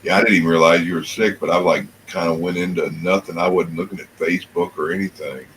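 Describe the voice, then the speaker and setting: A man with a deep voice chatting casually in a folksy, colloquial way. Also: fairly even intonation, fast, fairly bright tone, slight background noise, poor audio. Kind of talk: casual chat.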